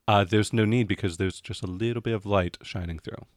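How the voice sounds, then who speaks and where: A man narrating a tabletop role-playing game as game master, halting now and then as he improvises descriptions. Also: fairly low pitch, fairly even intonation, no room sound, clean studio sound.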